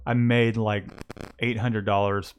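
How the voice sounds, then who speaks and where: A man's voice: on the low side, level tone, a little slow, slight background noise. A man hosting a casual livestream about his screen-printing shop, talking in a rambling way with many restarts and fillers.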